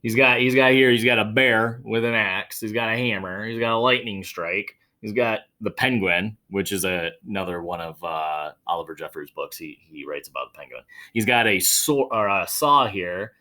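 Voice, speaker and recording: A man's voice: lowish voice, moderate pace, few pauses. A man hosting a picture-book read-aloud for children, telling the story expressively and adding chatty asides to his young listeners. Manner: expressive, dramatic storytelling, addressing children directly. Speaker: children's read-aloud host, teacher or school staff.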